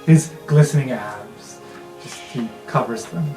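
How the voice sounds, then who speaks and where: A man's voice: medium pitch, a little slow, mellow. A man narrating an improvised tabletop roleplaying game as game master. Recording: roomy sound with music underneath.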